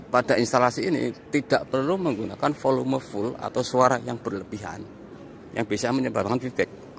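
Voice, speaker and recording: A man giving an improvised walk-through review, explaining in a halting, repetitive way as he points things out, recorded on location. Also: lowish voice, creaky, a bit bright, slight background noise. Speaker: audio reviewer and vlog host. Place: inside a large mosque, walking around.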